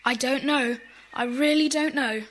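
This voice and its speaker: A woman's voice: high voice, measured pace, a bit bright, a little hiss or hum. A woman playing an earnest, kind-hearted schoolboy in a children's audio drama. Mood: worried. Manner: earnest, explanatory.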